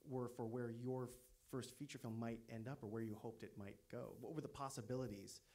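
A man's voice: medium pitch, steady tone, a little fast, warm tone. A man moderating a film panel on a theater stage with a handheld mic, asking long questions he rephrases as he goes. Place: theater stage before an audience.

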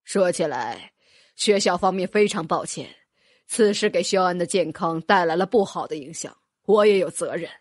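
A woman voicing a calm, polite middle-aged male headmaster in an audiobook. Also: lowish voice, dry recording, clean studio sound, pitched below the performer's own voice, lightly acted. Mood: apologetic, courteous. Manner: polite, measured.

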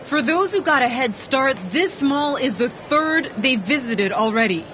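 A woman's voice: high voice, unhurried, a faint crowd, a little hiss or hum. Young woman reporting local TV news. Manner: clear, even reporter delivery.

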